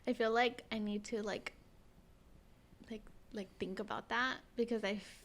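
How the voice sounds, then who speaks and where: A woman's voice: a little high, fairly even intonation, moderate pace. An American woman co-hosting a podcast in a casual, informal chat. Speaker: podcast co-host.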